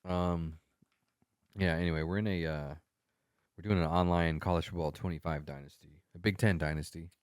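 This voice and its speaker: This is a man in his thirties chatting casually on a podcast. His voice sits extremely low, and it is recorded dry and close. He drifts through thoughts with fillers and easy profanity. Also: fairly even intonation, normal speed, darkish tone, no echo. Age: 30s. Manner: laid-back, trailing fillers and profanity. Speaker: podcast co-host. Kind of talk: casual chat.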